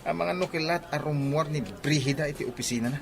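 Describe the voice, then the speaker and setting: A man's voice: fairly high pitch, fast, creaky. A man with an Ilocano accent playing a flustered, secretive friend in a comedy radio drama. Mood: flustered, bantering.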